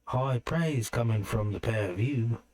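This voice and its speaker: A man's voice: medium pitch, a little slow, a little mellow, pitched above the performer's own voice, lightly acted. Wry, teasing adventurer voiced by a man. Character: wry, watchful male adventurer who wields daggers.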